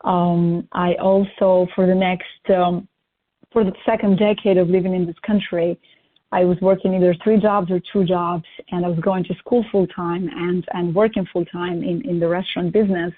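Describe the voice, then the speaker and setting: Woman on a flat tinny line. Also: fairly low pitch, moderate pace. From Albania. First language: Albanian. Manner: upbeat, lists achievements at length. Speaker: guest. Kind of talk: interview self-introduction.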